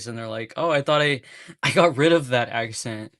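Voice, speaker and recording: A man's voice: medium pitch, a bit bright, no room sound, clean studio sound. A man from California chatting casually on a livestream, rambling and breaking into nervous laughs. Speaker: streamer.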